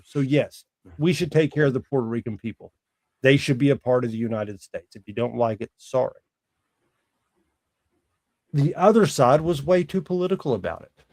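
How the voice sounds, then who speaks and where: A man in his forties speaks in a somewhat high voice with a very dry, close sound. He hosts a casual sports talk podcast and delivers opinions emphatically, often as exasperated rhetorical questions. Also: darkish tone, no echo. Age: 40s.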